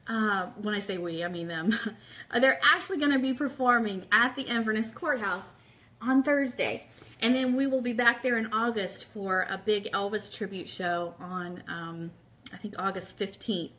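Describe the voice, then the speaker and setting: A woman's voice: medium pitch, normal speed, sharp tone. Chatty, enthusiastic woman hosting a livestream. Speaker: radio show host. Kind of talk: casual livestream chat with book read aloud.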